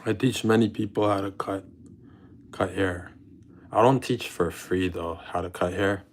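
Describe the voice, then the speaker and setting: A man's voice: low-pitched, fairly even intonation, measured pace, background noise. A young man live-streaming in public, chatting casually with his viewers and thanking them by name. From mixed Jamaican and British heritage. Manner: laid-back, thanks chatters by name, talks to chat. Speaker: IRL streamer.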